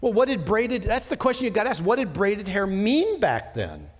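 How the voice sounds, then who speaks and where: Higher-voiced American pastor in a reverberant hall. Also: male voice, wildly swooping pitch, moderate pace. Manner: informal, emphatic, with rhetorical questions. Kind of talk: conversational verse-by-verse Bible teaching. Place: church sanctuary stage, indoors. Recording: long reverb of a church hall.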